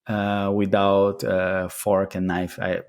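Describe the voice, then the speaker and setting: A man's voice: sits low, monotone, slow. A man from Brazil with a Brazilian Portuguese accent, a podcast guest answering questions in casual chat, often restarting phrases and laughing as he tells stories. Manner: hesitant restarts, laughs while telling anecdotes.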